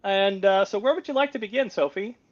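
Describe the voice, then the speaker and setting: A middle-aged American man, a guest on a podcast, talking in a relaxed, self-deprecating way and often restarting his sentences over a video call. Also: high voice, brisk. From United States. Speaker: guest, policy advisor. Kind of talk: conversational interview. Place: home on a Greek island. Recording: dull lo-fi call audio.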